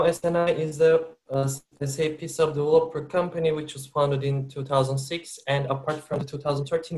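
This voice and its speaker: A man hosting a corporate webinar, presenting in a measured way with frequent hesitations. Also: on the high side, low-quality recording. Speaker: webinar host. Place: works for a company based in Turkey.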